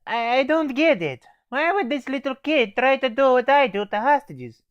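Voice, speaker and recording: A man's voice: very high-pitched, very animated, moderate pace, clean tone, no echo, highs cut off, pitched above the performer's own voice. A teenage boy voicing a Russian male soldier obsessed with explosive charges, teasing and calling everyone comrade. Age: teens. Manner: teasing, calls listener comrade.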